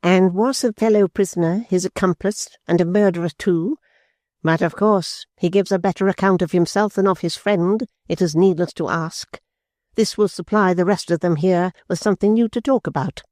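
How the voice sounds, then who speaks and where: A man reading aloud and voicing a stern, cold older mother who speaks slowly and severely. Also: high-pitched, a little mellow, dry recording, studio quality, pitched above the performer's own voice, lightly acted. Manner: stern, clipped, deliberate.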